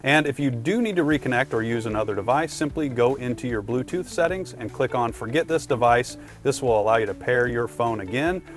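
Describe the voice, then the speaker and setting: A man's voice: mid-range voice, expressive, a little fast, hardly any pauses, faint music behind. A man presenting product tips in a casual, conversational instructional style, talking directly to the viewer.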